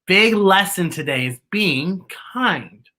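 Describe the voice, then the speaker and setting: Theatrical kids' show host, bright woman's voice. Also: lowish voice, animated, slow pace. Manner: theatrical, playful, sing-song delivery. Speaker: host of a children's story time show. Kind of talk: children's read-aloud show presenting.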